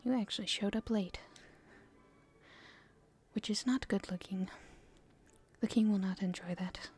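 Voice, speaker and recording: A woman playing an earnest, hopeful young princess in a soft, halting, breathy whisper for an ASMR roleplay. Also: medium pitch, faint music behind, close to an everyday voice. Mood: musing, hesitant. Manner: halting, soft-spoken, thinking aloud.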